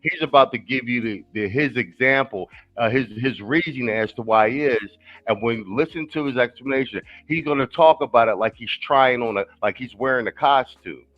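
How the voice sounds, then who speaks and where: A man's voice: medium pitch, brisk, highs cut off. A man hosting a casual stream, talking loosely and profanely with lots of restarts. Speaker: stream host. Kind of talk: casual talk-show chat.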